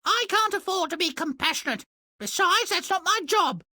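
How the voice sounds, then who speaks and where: A man's voice: very high voice, animated, moderate pace, sharp tone, dry recording, full character voice. A man playing a greedy, imperious fisherman's wife, demanding and pompous, in a cartoonish fairy-tale game.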